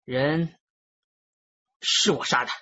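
A man's voice: a little high, takes it slowly, pushed and bright, muffled recording, full character voice. An actor playing a brash, slippery streetwise teenage boy in a radio drama, cocky and wheedling. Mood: confessing.

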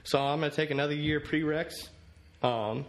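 Easygoing young man with gravelly voice. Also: fairly high pitch, steady tone, normal speed. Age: 20s. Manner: easygoing, repeats words while telling stories. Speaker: guest, college quarterback. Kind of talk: casual interview storytelling. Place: podcast studio at night, indoors. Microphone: studio mic on boom arm.

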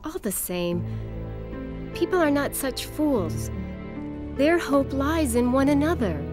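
A wise, composed young noblewoman in a fantasy video game. Her voice sits a little high and she speaks with formal, earnest poise over clear background music. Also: sing-song, slow, exaggerated voice. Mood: gently hopeful. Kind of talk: dramatic video game voice acting.

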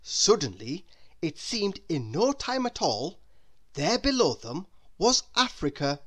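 A woman reading a children's story aloud in a deliberate, expressive way, as if to a young listener. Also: fairly low pitch. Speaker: storybook reader. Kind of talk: scripted children's story narration.

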